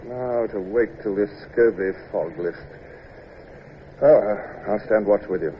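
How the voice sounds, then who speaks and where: An actor playing a brisk, imperious British naval commodore, impatient and blustery, in old-time radio drama style. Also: male voice, lowish voice. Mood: grim. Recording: dull, noisy old radio recording.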